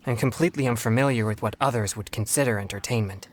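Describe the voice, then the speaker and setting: A male actor voices a sheltered, earnest young man who hunts spirits in a video game. He speaks formally and carefully, keeping himself in check. The pitch is medium and there is a little noise behind the voice. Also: natural voice. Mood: earnest, pensive. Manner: formal, courteous, measured. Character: earnest, polite young exorcist man, self-controlled and sheltered.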